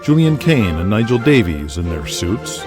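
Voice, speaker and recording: A man's voice: fairly low pitch, big pitch swings, normal speed. A man reading scripted dramatic narration in the present tense, setting scenes like a storyteller. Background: music under the voice.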